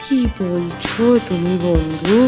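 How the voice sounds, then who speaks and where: A woman voicing a sly, flattering male fox in a cartoon fable, coaxing and mock-indignant in manner. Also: fairly low pitch, takes it slowly, over music, pitched below the performer's own voice. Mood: reproachful. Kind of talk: animal fable storytelling.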